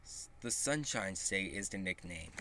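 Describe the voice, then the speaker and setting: A man reading a children's picture book aloud in a halting, deliberate way, with small stumbles. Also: sits low, lively intonation, background noise. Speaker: storybook reader.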